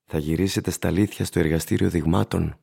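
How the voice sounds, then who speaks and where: An actor playing an ageing, plain-spoken shoe shiner in a radio play, courteous and gravely cautioning, with a husky, gravelly voice. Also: male voice, deep voice, fairly even intonation, dark tone, heavily acted. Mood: resigned, courteous. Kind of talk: radio drama acting.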